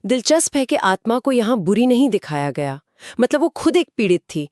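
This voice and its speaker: Expressive woman co-hosting, lively pitch. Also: normal speed, no echo, studio quality. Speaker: co-host reacting to stories. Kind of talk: conversational commentary on horror stories.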